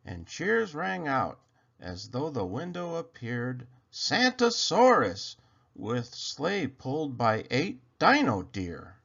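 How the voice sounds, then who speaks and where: Guy reading a kids rhyming book out loud, takes it slow, really dramatic delivery, bit of a dull recording. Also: medium pitch, huge pitch swings, gravelly. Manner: theatrical, drawn-out phrasing for children. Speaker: storyteller reading a children's picture book aloud. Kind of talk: expressive read-aloud of a rhyming story.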